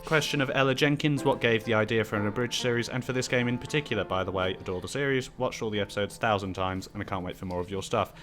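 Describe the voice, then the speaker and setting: A man's voice: lowish voice, fast, hardly any pauses, a bit bright, faint music behind. Dryly teasing man hosting a Q&A. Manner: dry, teasing banter. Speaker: host reading out viewer questions. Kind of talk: casual Q&A chat with friends.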